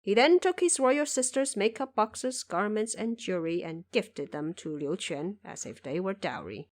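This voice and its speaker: A woman's voice: medium pitch, lively intonation, normal speed. A woman narrator reading scripted story narration for an audio drama.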